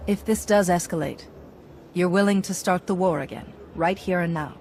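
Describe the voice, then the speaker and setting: A woman's voice: fairly low pitch, normal speed, a little mellow, a little hiss or hum, lightly acted. An actress playing a composed, determined female commander in a video game, speaking in a cool, direct, self-assured way. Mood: cool, probing. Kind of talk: dramatic video game acting.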